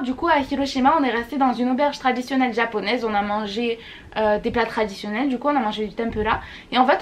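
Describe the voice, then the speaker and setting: A young woman vlogging a casual monthly recap, gushing and repeating words for emphasis. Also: a little high. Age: young adult. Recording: faint background noise.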